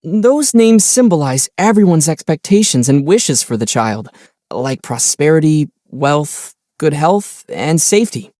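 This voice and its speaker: A man playing a calm young adult traveler hero in a video game, with a playful, teasing and wry way of talking. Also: fairly high pitch, a little slow, no echo, studio quality. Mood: playful storytelling.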